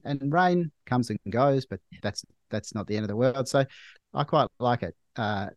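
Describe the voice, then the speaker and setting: An Australian man in his forties, a doctor being interviewed, talking in a measured, thoughtful way with frequent restarts. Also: medium pitch, darkish tone, dry recording, clean studio sound. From Melbourne, Australia. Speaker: guest, hospital doctor. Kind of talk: casual interview chat.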